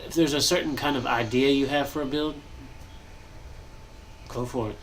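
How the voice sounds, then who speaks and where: A young man with a stuffy, nasal voice, chatting casually and thinking aloud while he builds in a game, pausing often to cough or clear his throat. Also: medium pitch, fairly even intonation, a bit bright, a little hiss or hum, poor audio. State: congested, nasal. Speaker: gaming channel host.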